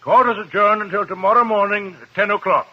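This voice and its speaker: A man's voice: high-pitched, brisk. A man playing a stern, authoritative judge presiding over court in a 1950s radio drama. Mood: formal. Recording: noisy muffled old radio, distant.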